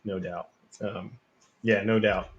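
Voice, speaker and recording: A man's voice: on the low side, level tone, slow, highs cut off. A man hosting a casual interview, agreeing often with short affirmations. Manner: affirming, lots of "yeah" and "no doubt". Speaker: podcast host.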